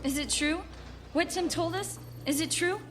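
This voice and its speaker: A woman's voice: extremely high pitch, moderate pace, over music. A woman in a radio drama playing an anxious, pleading young graduate student. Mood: distressed.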